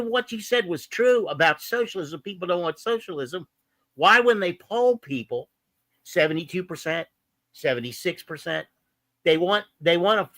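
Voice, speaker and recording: A man arguing a point in a casual discussion, insistent, halting and repeating words. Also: high voice, fairly bright tone, dry recording.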